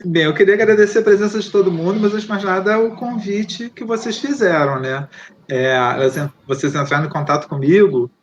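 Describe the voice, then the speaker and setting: A man's voice: high-pitched, a little fast. A Brazilian man, an author, speaking to an online audience in a conversational way and often tagging his sentences with "né?". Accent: Brazilian Portuguese. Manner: conversational, often adds rhetorical "né?". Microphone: video call. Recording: lo-fi online call audio with faint noise.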